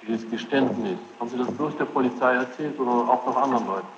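A man's voice: mid-range voice, quick pace. A man cross-examining a witness with direct, insistent questions, recorded in a large courtroom on old tape. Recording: echoey hall, dull noisy archive tape.

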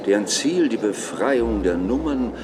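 An actor playing an emphatic propaganda announcer of a totalitarian future state, official and declamatory, in a radio play. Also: male voice, on the low side, animated, unhurried, noisy background. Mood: grave, official.

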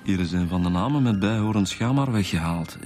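A Flemish police detective, matter-of-fact and dry, with a fairly low male voice. Music is clearly audible behind this radio drama dialogue. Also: lively intonation, fairly quick. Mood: dryly amused.

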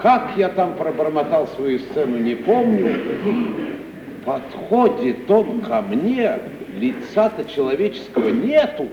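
An actor playing a meek, plaintive provincial comedian in an old radio play, fussing and repeating himself in whining protest. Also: male voice, fairly high pitch, very animated, slow, full character voice. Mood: aggrieved storytelling. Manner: stammering repetitions, whining, fussy protest. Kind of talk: mid-century Russian stage radio acting. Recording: muffled, noisy, roomy 1950 radio recording, off-mic.

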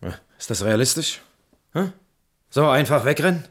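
A man's voice: medium pitch, lively intonation, moderate pace, a bit bright. An actor playing a brusque but well-meaning policeman in a German radio play, probing in an official, paternal way. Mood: exasperated, paternal.

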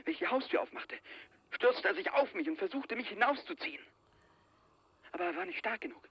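A man's voice: high-pitched, animated, a little fast, gravelly, husky, dry recording, muffled recording, heavily acted. An actor in a radio play, playing a frightened, obsessed travel writer who believes he is being hunted, with an agitated, dramatic delivery. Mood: ominous. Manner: agitated, dramatic, swinging from hushed dread to frantic outbursts. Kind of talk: 1980s German radio play acting.

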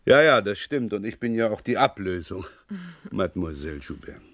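Low-voiced, probing police inspector. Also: male voice, big pitch swings, no echo, clean studio sound. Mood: politely probing. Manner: polite, probing, unhurried with hesitant repetitions. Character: persistent, dryly sceptical French police inspector, male. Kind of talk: German crime radio play acting.

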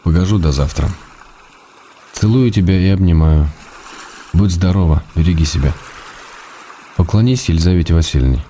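An actor plays a tired, fond husband, a writer reading out his letters in a radio drama. His voice is low and warm, measured and restrained. Faint ambient noise sits behind him. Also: natural voice. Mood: weary, fond. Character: weary, affectionate writer husband writing letters to wife.

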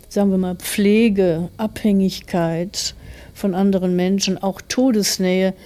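A woman's voice: mid-range voice, mellow. A woman of forty, an author answering interview questions in a reflective, careful, explanatory way.

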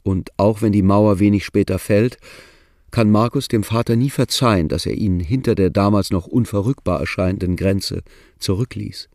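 An actor playing a matter-of-fact chronicler in a radio drama, narrating in a sober, measured, literary style. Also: male voice, on the low side, a little mellow, no room sound, clean studio sound, natural voice.

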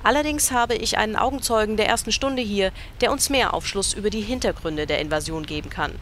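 A woman's voice: mid-range voice, a little fast, a bit bright, natural voice. A woman voicing a matter-of-fact news reporter, with faint background noise. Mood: dry. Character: dry, unimpressed female news reporter. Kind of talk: parody audio drama.

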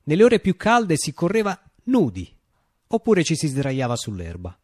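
A reflective revolutionary man tells his story in a theatrical radio monologue. His voice sits fairly high and moves through wide, expressive swoops. He phrases deliberately with dramatic pauses, and the recording is close and dry. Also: no echo. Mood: wistful, exalted. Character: reflective male revolutionary recalling a utopian Italian uprising.

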